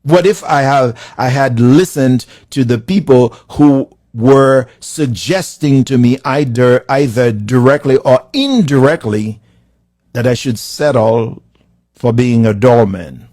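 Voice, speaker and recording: A man from Haiti with a Haitian accent, giving a live-streamed motivational talk to viewers, building his lines with slow, preacherly emphasis. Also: mid-range voice, darkish tone, dry recording. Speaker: motivational speaker.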